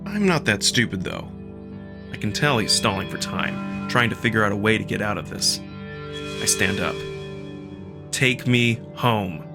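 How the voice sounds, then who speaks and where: A man reading first-person fiction as a narrator, in an expressive, dramatic storytelling style. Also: fairly low pitch, normal speed, gravelly. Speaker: narrator and performer of a visual novel. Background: music.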